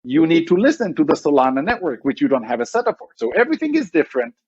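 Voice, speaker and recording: Fast-talking Israeli man with a dull, dry sound. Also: a little high, big pitch swings, husky, dry recording. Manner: rambling, with many fillers and restarts. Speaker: guest, startup CEO. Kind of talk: conversational podcast interview.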